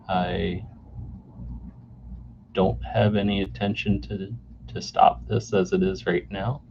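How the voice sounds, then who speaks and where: A man in his forties hosting a solo podcast off the cuff, halting with many fillers and restarts, recorded on a camera mic indoors. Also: on the low side, gravelly. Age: 40s. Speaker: podcast host. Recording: dull lo-fi camera mic sound with faint noise.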